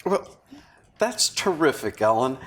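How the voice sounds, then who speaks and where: A man's voice: medium pitch, very animated, slow, a bit bright. A man playing a genial, hesitant old college friend in a drama table read. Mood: nostalgic, breezy.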